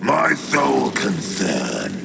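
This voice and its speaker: An actor playing a languid, bloated monster lord, lazy and mocking, drawling with weary indifference in a video game. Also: male voice, fairly low pitch, huge pitch swings, slow pace, creaky, very noisy, heavily acted. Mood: bored mockery.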